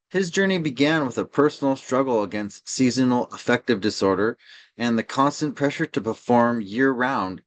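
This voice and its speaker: A man with a medium-pitched voice serves as podcast host. He delivers a scripted introduction in a presenter's style, laying out a guest profile in full sentences.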